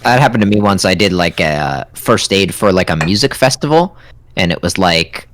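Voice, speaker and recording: A man's voice: deep voice, lively intonation, a little fast, fairly bright tone. A man co-hosting a podcast in casual, conversational live chat. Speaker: podcast co-host.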